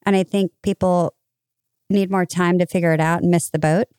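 Female co-host, mellow and clean tone, very dry recording, casual but emphatic conversational style. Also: lowish voice, fairly even intonation, normal speed, clear, resonant tone, no echo. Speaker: podcast host. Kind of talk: casual chat.

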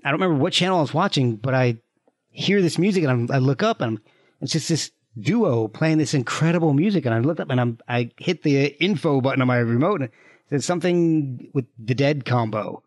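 A man's voice: medium pitch, lively intonation, brisk, dry recording. A man hosts a podcast interview, chatting in a casual, eager way that trips over itself now and then. His voice sits in a middle range. The sound is dry and close. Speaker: podcast host.

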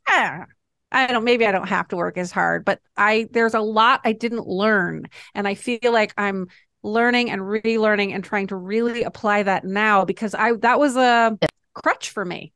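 A woman screenwriter co-hosting a talk show, speaking about her own work in a reflective, halting way. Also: medium pitch, a bit bright, dry recording, studio quality. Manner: self-reflective, halting, many restarts. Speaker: podcast co-host and screenwriter. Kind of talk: casual reflective chat.

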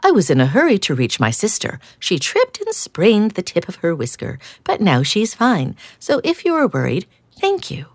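A woman's voice: medium pitch, wildly swooping pitch, normal speed, no echo, pitched above the performer's own voice. A woman voicing a polite, apologetic female cat in a storybook reading. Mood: friendly.

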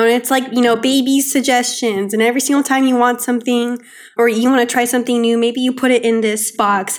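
A woman co-hosting a podcast, chatting in an upbeat way with many fillers. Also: fairly high pitch. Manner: chatty, upbeat, lots of "like" and "you know". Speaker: co-host. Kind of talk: casual podcast chat.

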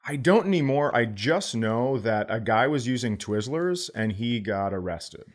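A man's voice: mid-range voice, lively intonation, a little slow. A man co-hosting a comedy podcast, bantering casually in a wry, teasing way and swearing now and then. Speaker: podcast co-host.

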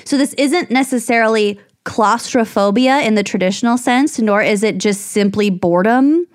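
A woman's voice: on the high side, normal speed. A woman co-hosting a podcast in casual chat, rambling and giggling as she reads from her notes. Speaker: podcast co-host.

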